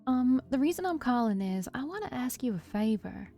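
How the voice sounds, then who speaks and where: A woman's voice: on the high side, lively intonation, moderate pace, mellow, lightly acted. A woman voicing a sweet, hesitant, earnest young girl in a story reading. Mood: timid, hopeful.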